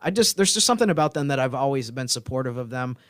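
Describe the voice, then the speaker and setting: A man co-hosting a sports podcast, explaining his views in a casual, measured way. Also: medium pitch, animated, dry recording, clean studio sound. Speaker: podcast co-host. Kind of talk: casual sports analysis chat.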